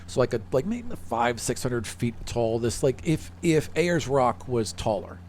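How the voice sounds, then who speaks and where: A man's voice: mid-range voice, a little slow, a little mellow, slight background noise. A man narrating a tabletop game as game master, casual and conversational, improvising explanations as he goes.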